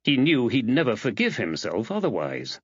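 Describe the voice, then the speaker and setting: A man's voice: mid-range voice, huge pitch swings, moderate pace, husky, no echo, muffled recording. A man reading a mystery novel aloud as scripted audiobook narration.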